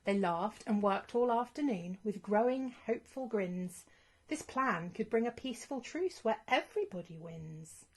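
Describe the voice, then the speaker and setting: A woman reading a rhyming children's storybook as scripted narration, in an expressive storytelling manner. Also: medium pitch, normal speed.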